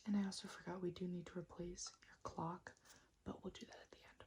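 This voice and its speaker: A woman doing an ASMR roleplay as a repair technician, whispering and speaking softly in a gentle, reassuring, unhurried way. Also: lowish voice, level tone. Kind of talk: ASMR roleplay, mostly whispered and soft-spoken.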